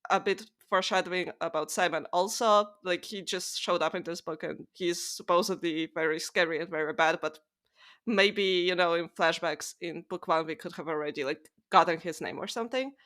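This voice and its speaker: A woman's voice: lowish voice, moderate pace, fairly bright tone. A woman co-hosting a podcast, chatting casually about books in an informal, sweary way full of "like". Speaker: podcast co-host. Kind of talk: casual book discussion chat.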